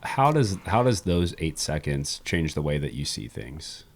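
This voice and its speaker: A man's voice: a bass voice, sing-song, measured pace, creaky. A man hosting a podcast, asking reflective interview questions and offering brief affirmations. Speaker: podcast host.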